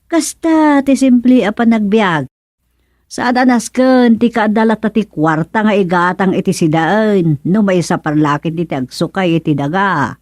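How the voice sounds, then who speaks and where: A woman's voice: medium pitch, normal speed, darkish tone, no echo, clean studio sound, natural voice. A woman playing an industrious, thrifty older village gardener in an Ilocano radio comedy drama, with an Ilocano accent. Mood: proud, homely.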